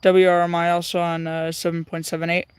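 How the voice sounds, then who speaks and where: A man's voice: a little high, completely flat delivery, fairly quick, slight background noise. A young man hosting a casual livestream, thinking aloud with many hesitant fillers while tuning a radio.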